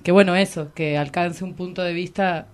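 Female interviewer, Rioplatense accent. Lowish voice, conversational, halting as she builds and rewords questions. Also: steady tone. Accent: Rioplatense Spanish.

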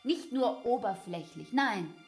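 An actress playing a bitter, wounded young barmaid in a radio play, speaking with drawn-out emphasis. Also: female voice, on the high side, animated, faint music behind. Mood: bitter, emphatic. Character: young barmaid bitterly repeating accusations to her lover. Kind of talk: radio play acting over music.

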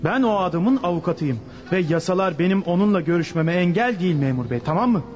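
An actor playing a firm, insistent gang lawyer in a radio drama, speaking articulately. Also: male voice, high-pitched, animated, quick pace, soft background music. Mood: insistent, impatient. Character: assertive male lawyer working for a gang boss. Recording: dull lo-fi radio recording.

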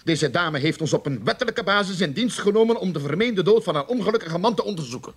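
A man's voice: high-pitched, lively intonation, fast talker, husky, exaggerated voice. A man playing a wordy, persistent private detective in a radio play, formal and easily indignant. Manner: pompous, formal. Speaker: radio drama actor.

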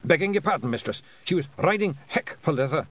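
Tiny storybook pictsy flier as a man acts him: high-pitched, excitable, Scottish, sheepish and eager to defer, swooping delivery. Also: a little fast. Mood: nervous deference. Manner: excitable, deferential dialect speech. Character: very small male pictsy pilot, jumpy, sheepish.

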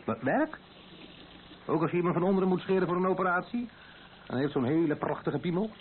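Affectionate young-family husband, playful, intimate, very animated, narrow-band vintage radio play recording. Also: male voice, high-pitched, a little fast. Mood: teasing, incredulous. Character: affectionate, teasing husband in a wheelchair, expecting a baby. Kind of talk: Dutch radio play acting. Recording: tinny, band-limited old radio recording, faint noise.

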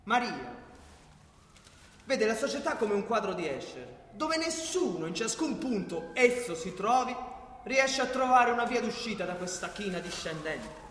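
Casting: male actor as satirical storyteller for radio drama, declamatory, indignant, highly animated delivery, reverberant room with faint noise. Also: unhurried, full character voice. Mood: sardonic storytelling. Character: male satirical narrator, indignant at corrupt politics. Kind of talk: theatrical storytelling monologue for radio theatre. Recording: echoey hall, faint noise.

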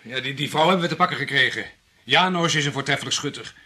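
A man's voice: medium pitch, fast talker, pushed and bright, slightly rough. An actor playing a cold criminal henchman in a radio play, speaking curtly and in clipped phrases. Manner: curt, clipped.

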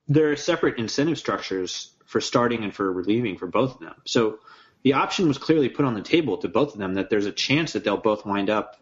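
A man co-hosting a casual sports podcast, opinionated and quick to jump in. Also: mid-range voice. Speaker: podcast co-host. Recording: dull lo-fi podcast audio.

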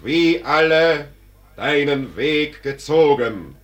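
An actor playing Death as a solemn, majestic spirit, declaiming verse in a stately, commanding manner in a classic German radio drama. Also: male voice, on the high side, expressive, takes it slowly. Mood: stern, exhorting.

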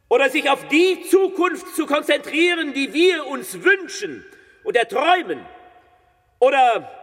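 An actor playing a political orator who delivers a bleak, defeatist speech in a theatrical radio play, declamatory and repetitive, with a husky voice. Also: male voice, very high-pitched, pushed and bright, no room sound, studio quality, full character voice. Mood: strained, desperate. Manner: declamatory, repeating phrases with rhetorical pauses.

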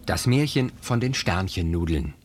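A man reading a children's story aloud in an expressive, scripted storytelling style. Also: sits low, normal speed, breathy edge. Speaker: story reader.

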